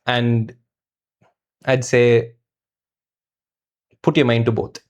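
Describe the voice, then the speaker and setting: A man's voice: medium pitch, flat delivery, drawn-out words. A man co-hosting a conversational film review, thinking aloud in a hesitant, thoughtful way with the odd mild swear word.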